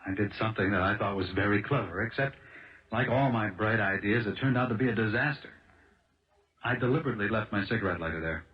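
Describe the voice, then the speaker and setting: A man acting in a 1970s radio drama as an impulsive, fast-talking American car salesman, earnest and halting as he protests his innocence. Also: lowish voice, lightly acted. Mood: regretful, pleading. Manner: halting, earnest, restarts phrases. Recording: dull lo-fi old radio broadcast.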